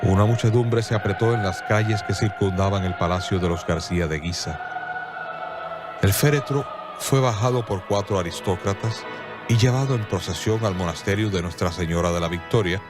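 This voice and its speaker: A man narrating a scripted radio drama in a solemn, measured storytelling manner. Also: lowish voice. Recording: clear music bed behind.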